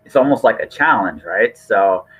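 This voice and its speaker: A man's voice: on the low side, animated, normal speed, a bit bright, breathy edge. A man talking casually as a podcast host, earnest and rambling, often restarting his phrases.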